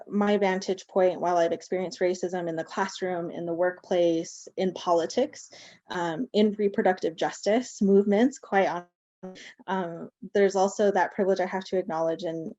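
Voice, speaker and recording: A woman's voice: lowish voice, mellow, dry recording, highs cut off. A woman speaking earnestly as a panelist, often restarting with "like" and "um". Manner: earnest, repeating words, frequent "like" and "um".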